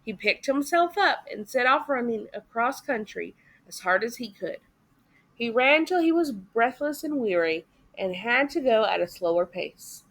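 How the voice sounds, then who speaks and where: A woman reading a story aloud as expressive narration for children. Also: a little high, measured pace. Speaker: story reader.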